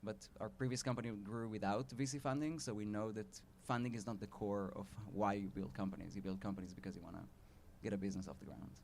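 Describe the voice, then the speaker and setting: A man with an Israeli accent, a startup founder speaking at a live event, earnest and measured with pauses and restarts. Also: fairly low pitch, steady tone, gravelly, a little mellow, a little hiss or hum.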